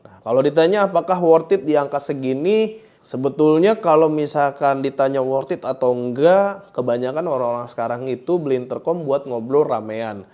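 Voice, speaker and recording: A man's voice: mid-range voice, moderate pace, warm tone. A man with a Jakarta Indonesian accent giving a casual product review, speaking colloquially and straight to viewers, often repeating his phrases. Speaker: motorcycle gear reviewer and host.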